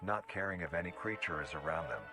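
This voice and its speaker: A man reading scripted documentary-style narration in a formal, measured, explanatory manner. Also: low voice, low-quality recording. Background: background music.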